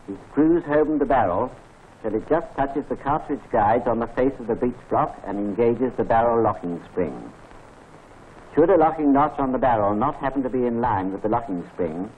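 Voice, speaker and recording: A British man narrates in a measured, formal, instructive tone at a mid pitch. The sound is a thin, tinny 1940s film soundtrack, with a faint hiss behind it. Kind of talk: scripted training film narration.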